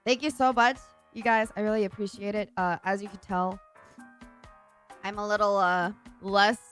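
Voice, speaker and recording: A woman's voice: mid-range voice, fairly even intonation, moderate pace, fairly bright tone, faint music behind. Chatty woman live streamer. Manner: chatty, rambling, lots of fillers. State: voice a little deeper than usual. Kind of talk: casual live-stream chat.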